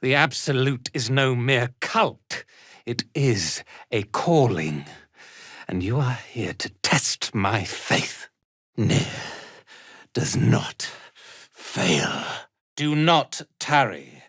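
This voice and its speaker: A man's voice: medium pitch, animated, drawn-out words, pauses often, fairly bright tone, breathy edge, dry recording, clean studio sound, exaggerated voice. A man playing a haughty, cruel drow cult commander in a fantasy video game, speaking in an imperious, menacing, theatrical way. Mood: zealous. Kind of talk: dramatic fantasy game voice acting.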